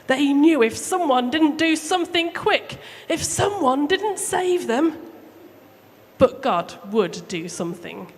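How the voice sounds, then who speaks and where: A woman telling a Bible story to children live at church, theatrical and emphatic with deliberate phrasing. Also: high voice, lively intonation. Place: large room, live church service. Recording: echoey hall, clear background noise.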